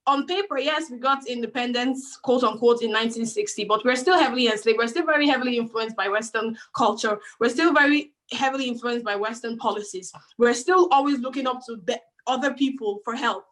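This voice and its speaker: A woman's voice: a little high, brisk, few pauses, fairly bright tone. A woman from Nigeria speaking as a panel guest, emphatic and repeating words for stress. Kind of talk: discussion.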